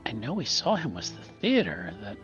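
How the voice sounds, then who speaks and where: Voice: medium pitch, wildly swooping pitch, moderate pace, fairly bright tone, faint music behind. A tabletop roleplaying player talking casually and hesitantly while improvising. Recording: dull, muffled lo-fi audio.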